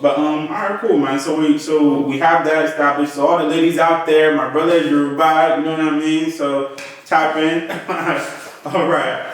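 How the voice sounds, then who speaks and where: A man's voice: fairly high pitch, steady tone, moderate pace. A man hosting an interview in a casual, buddy-like way. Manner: informal, buddy-like address. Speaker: interview host. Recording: very echoey room, distant microphone.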